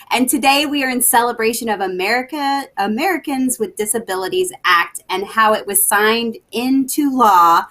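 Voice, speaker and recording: A woman's voice: mid-range voice, a bit bright. A woman hosting a live webcast over a video call, interviewing her guest in an upbeat, enthusiastic way. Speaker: host, NASA education specialist.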